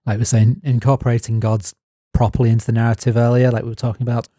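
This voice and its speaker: A man co-hosting a podcast, reading his prepared notes as measured narration. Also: medium pitch, level tone, mellow, no echo, clean studio sound. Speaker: podcast co-host. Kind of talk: scripted narration.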